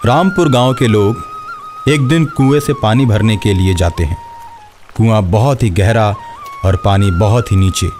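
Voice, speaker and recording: A man's voice: fairly low pitch, expressive, measured pace, over music. A man narrating a scripted horror story.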